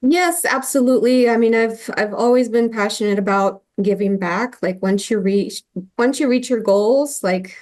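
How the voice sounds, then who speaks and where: A woman's voice: a little high, moderate pace. Earnest woman originally from Colombia. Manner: warm and earnest, with many fillers and restarts. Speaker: guest, nurse anesthetist. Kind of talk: conversational interview.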